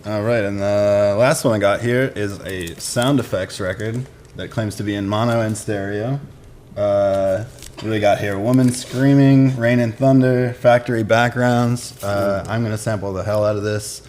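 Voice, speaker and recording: A man's voice: fairly low pitch, fairly even intonation, moderate pace, darkish tone, a little hiss or hum. A man presenting his picks in casual, unscripted talk, using short informal phrases. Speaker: musician presenting record picks. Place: indoors in a record shop.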